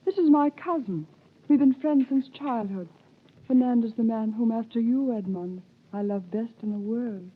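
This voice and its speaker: A woman's voice: on the high side, moderate pace, slightly rough. An actress playing an affectionate young woman in a 1930s radio drama, earnest in manner, on a tinny old recording. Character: young woman, loving fiancée.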